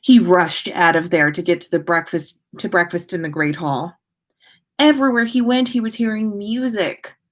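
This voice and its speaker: A woman host reading fan fiction aloud in an expressive way, with small stumbles and self-corrections. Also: on the low side, normal speed. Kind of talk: expressive story reading, livestream.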